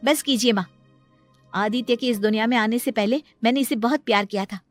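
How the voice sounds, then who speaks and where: A woman voicing a cruel, spiteful stepmother in an animated moral story, scolding and commanding in a sharp, scornful way. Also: on the high side, fairly quick, faint music behind, voice pushed up, close to an everyday voice. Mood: angry, defiant.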